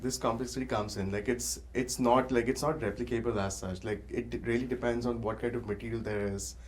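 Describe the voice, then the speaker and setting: A man talking casually in a halting way, with frequent filler words as he explains his thoughts. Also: medium pitch, fairly even intonation. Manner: halting, filler-heavy, says "like" constantly. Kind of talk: casual discussion.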